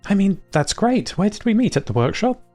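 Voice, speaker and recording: A man voicing an exuberant, chatty tinkerer woman in audio fiction, gushing and excitable. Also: high voice, brisk, soft background music, voice pushed up. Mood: flustered, surprised. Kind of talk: audio fiction character dialogue.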